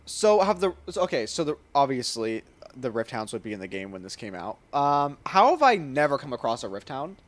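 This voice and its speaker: A woman's voice: a bass voice, sing-song, fairly quick, few pauses. A woman giving casual, chatty and playful commentary as she streams a video game. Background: faint game music.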